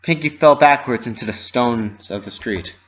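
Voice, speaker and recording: A narrator reads a story aloud in a dramatic storybook style. The voice sits at a medium pitch. The home recording sounds a bit dull and lo-fi. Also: normal speed.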